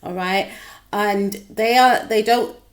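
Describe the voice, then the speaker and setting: Young woman tarot reader, croaky, talkative, middle pitch, direct address to viewer. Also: steady tone, takes it slowly. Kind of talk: casual tarot reading to camera.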